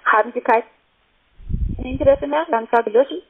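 Polite high-voiced woman on a phone line. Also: normal speed, breathy edge. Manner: polite, businesslike. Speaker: call centre sales agent. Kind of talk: scripted-sounding phone sales talk, polite. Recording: thin phone-line sound.

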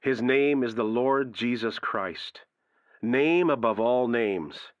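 A man's voice: medium pitch, slow, clean tone, dry recording, natural voice. An actor playing a fervent radio preacher in a drama, emphatic and declamatory as he delivers a sermon. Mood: fervent, exhorting. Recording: dull, dry radio-like sound.

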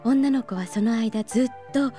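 A voice actress playing a gentle, wistful young woman teacher in an anime audio drama, soft and reflective. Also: on the high side, moderate pace, soft background music, close to an everyday voice.

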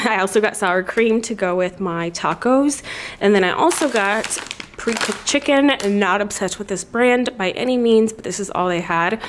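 A woman's voice: medium pitch, slight background noise. Chatty young woman vlogging at home. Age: young adult. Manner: chatty and upbeat, drawn-out emphasis, frequent "like" and "um". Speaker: lifestyle vlogger. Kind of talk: casual vlog narration. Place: bright loft apartment with brick walls, indoors.

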